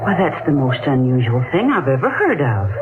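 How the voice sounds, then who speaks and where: A woman's voice: a bass voice, big pitch swings, normal speed, noisy background. An American woman playing a hospitable, uneasy hostess in 1940s radio drama style. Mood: gracious. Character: hospitable, uneasy woman hosting New Year's Eve. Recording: muffled 1940s radio recording, music behind.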